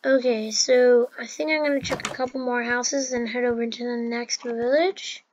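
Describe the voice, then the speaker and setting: A woman's voice: a little high, fairly even intonation, normal speed, muffled recording, noisy background. A woman giving casual, chatty commentary over her own gameplay, with fillers and restarts. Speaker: gameplay narrator.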